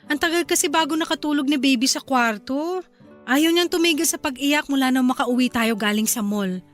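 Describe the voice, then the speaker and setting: A woman's voice: high voice, brisk, soft background music, lightly acted. An actress playing a caring young wife and new mother in a radio drama, sweet and affectionate. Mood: tender.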